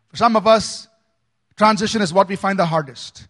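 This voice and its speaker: A man from India, a pastor preaching a sermon with dramatic swings between loud emphasis and soft asides. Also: high voice, moderate pace.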